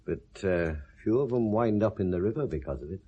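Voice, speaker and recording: An actor in a 1970s British radio drama playing a sceptical police inspector, blunt, wry and insistent, with a British accent. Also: male voice, lowish voice, expressive, fairly quick, mellow, muffled recording, a little hiss or hum, natural voice. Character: sceptical, probing male police detective inspector.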